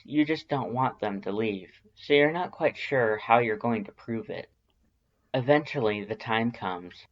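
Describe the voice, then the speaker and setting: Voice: mid-range voice, lo-fi sound. A narrator reading a story aloud in a scripted audiobook style.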